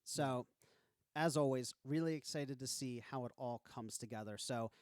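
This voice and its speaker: A man co-hosting a podcast with excitable, casual, reactive banter, recorded close on the microphone. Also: medium pitch, moderate pace, smooth, no echo. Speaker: podcast co-host.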